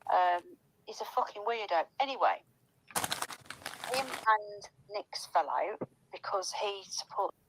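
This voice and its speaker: A woman talks in an informal, gossipy style. Her voice is middling in pitch, and her delivery is rambling and conversational. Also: expressive, takes it slowly, long pauses, noisy background. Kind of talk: casual gossipy chat.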